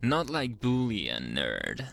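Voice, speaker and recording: Slow-talking, flirty reformed bully played by a man. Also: fairly low pitch, wildly swooping pitch, heavily acted. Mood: flirty, hopeful. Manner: soft, halting confession with breathy chuckles and trailing phrases. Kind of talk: intimate ASMR roleplay monologue.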